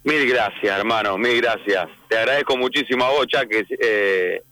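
A man's voice: lowish voice, moderate pace, sharp tone, rough recording. A man with an Argentine accent, a musician giving a casual interview in an informal, appreciative manner.

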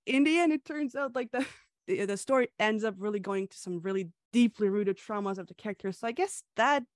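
Guest: young woman from Hungary, chatty with fillers, mid pitch, dry close mic. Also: brisk, no room sound. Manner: chatty, laughs often, frequent fillers. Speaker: comic creator, guest. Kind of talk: casual interview answers.

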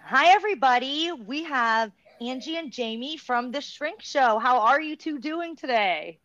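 A woman's voice: high voice, lively intonation, unhurried, fairly bright tone, no echo. A woman hosting a casual podcast interview, telling her own story in a chatty way with plenty of "like.". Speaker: podcast host interviewing guests. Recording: dull dry lo-fi sound.

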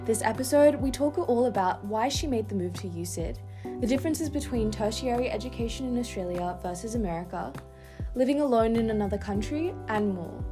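A woman's voice: fairly high pitch, lively intonation, fairly quick, music in the background. Teenage girl hosting, chatty and hedging. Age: teens. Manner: chatty, hedging with many fillers. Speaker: podcast host. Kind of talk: podcast interviewing.

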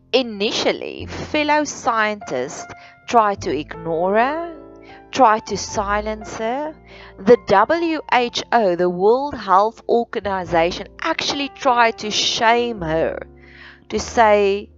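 A woman from South Africa with an Afrikaans accent, hosting a solo podcast in a slow, earnest, deliberate way with many pauses. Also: medium pitch. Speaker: podcast host. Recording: dull lo-fi sound with faint music.

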